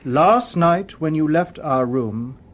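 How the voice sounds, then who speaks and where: Calm robot detective, slightly husky. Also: male voice, fairly high pitch. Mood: calm, probing. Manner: formal, measured, precise. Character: calm, coolly logical humanoid robot detective. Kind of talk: radio drama acting.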